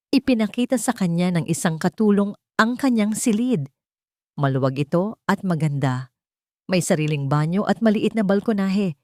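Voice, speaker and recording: A Filipino woman narrates a dramatic love story in a fairly low voice. Her pitch moves widely as she phrases each line deliberately. The recording is close and dry. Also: darkish tone, dry recording.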